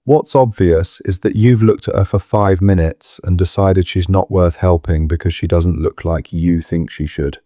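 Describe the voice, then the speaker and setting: A man acting a firm, low-voiced protector. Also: steady tone, normal speed, hardly any pauses, mellow, no echo, studio quality. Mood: controlled fury. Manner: firm, tight, terse. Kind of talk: audio drama acting.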